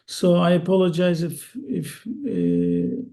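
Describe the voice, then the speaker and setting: Cast: middle-aged Turkish-accented man, podcast guest, deliberate halting delivery, high gravelly mellow voice. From Turkey. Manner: slow, careful, halting with many uhs. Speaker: guest, real estate investor. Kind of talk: interview answers.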